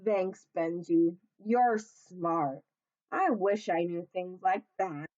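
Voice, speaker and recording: A woman's voice: lowish voice, slow pace, a little mellow, muffled recording. A woman voicing a sleepy, hungry male toy hippo from a children's storybook, drawling and grumbling sluggishly.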